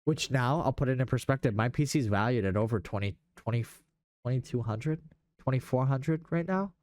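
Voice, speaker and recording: A man's voice: medium pitch, animated, brisk, a little mellow, no room sound. A young man in casual gaming chat, speaking in a slangy, enthusiastic way. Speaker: podcast host.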